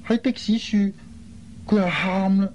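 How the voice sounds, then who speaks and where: A man's voice: high voice, steady tone, unhurried, a little mellow. An actor playing an earnest, concerned airline crewman in a radio drama. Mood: agitated recounting. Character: earnest, concerned man, a flight crew colleague. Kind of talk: Cantonese radio drama acting. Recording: dull lo-fi recording, faint noise.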